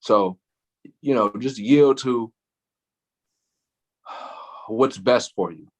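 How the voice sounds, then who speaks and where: A man's voice: medium pitch, extremely slow, a little mellow. A man co-hosting a casual astrology chat, laid-back, with frequent laughs and lots of fillers. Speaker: astrology show co-host.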